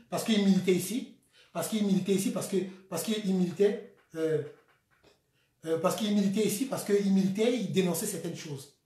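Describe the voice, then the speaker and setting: A man from the Republic of the Congo with a Congolese French accent, giving an impassioned political monologue, swinging between shouts and quiet bitter asides. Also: sits high, roomy sound. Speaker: activist vlogger.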